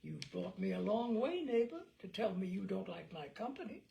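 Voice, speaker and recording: A woman's voice: mid-range voice, sing-song, normal speed, creaky, mellow, a little hiss or hum. A woman voicing a composed, firm, folksy male American lawyer and orator in a storybook reading. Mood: peaceable. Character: cool, stouthearted American lawyer and orator, a grown man.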